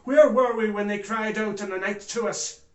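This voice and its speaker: A man performing a theatrical monologue as an anguished, remorseful German former judge testifying in court with grave, deliberate oratory. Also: fairly high pitch, natural voice. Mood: impassioned, accusing. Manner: grave, deliberate oratory with swells from hushed to loud. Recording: echoey room.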